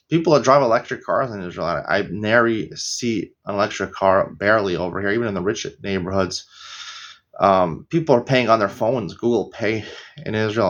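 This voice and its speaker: A middle-aged American man teaching a casual daily Talmud lesson, rambling into opinionated asides and often clearing his throat. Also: lowish voice, fairly bright tone, dull audio. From United States. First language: English.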